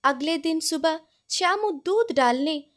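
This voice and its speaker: A woman's voice: very high-pitched, expressive, a little slow. A woman storyteller reading a scripted tale as narration.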